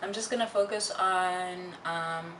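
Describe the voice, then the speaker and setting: A young woman talks candidly and casually to her followers, with a lowish voice and a slow, halting delivery peppered with slang. The sound is roomy, picked up at a distance from the mic. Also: steady tone, noisy background. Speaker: vlogger. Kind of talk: casual personal chat to viewers.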